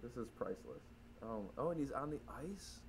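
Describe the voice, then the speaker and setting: A man giving casual, excited live reactions as he films wildlife, picked up on a camera microphone. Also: medium pitch, fairly quick, gravelly, low-quality recording. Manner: excited, informal. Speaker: amateur birder filming wildlife. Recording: quiet camera audio with background noise.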